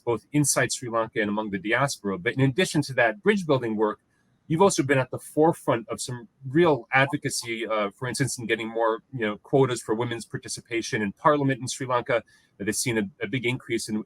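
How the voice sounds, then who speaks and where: A man's voice: medium pitch, a bit bright, dry recording, poor audio. A man moderating a panel discussion, introducing guests and asking questions with frequent restarts and fillers. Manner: halting, many restarts and fillers.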